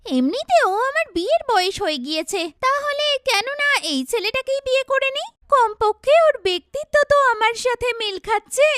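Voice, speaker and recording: A woman voicing a vain, sharp-tongued young woman in a cartoon, with a blunt, complaining, mocking manner. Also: very high-pitched, lively intonation, fairly quick, few pauses, no echo, studio quality, pitched above the performer's own voice. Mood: excited. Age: young adult. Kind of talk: cartoon dialogue.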